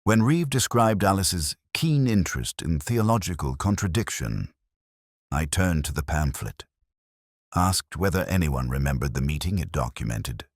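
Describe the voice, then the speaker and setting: A man playing a brooding, measured detective inspector, narrating his case in solemn, deliberate, literary first-person mystery prose. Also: a bass voice, slightly rough, no room sound, studio quality, heavily acted.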